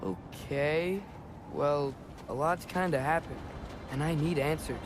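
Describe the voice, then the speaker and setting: A stubborn, earnest teenage adventurer in a game. His voice is fairly high, casual and cheeky, over clearly noisy ambience. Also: takes it slowly. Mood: teasing, casual. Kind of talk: video game cutscene acting.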